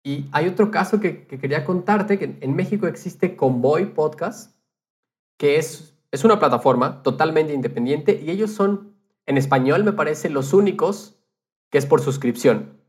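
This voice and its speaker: A man's voice: a little high, lively intonation, moderate pace. A man talking casually as a guest on a podcast about his field. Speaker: podcast guest, audio producer.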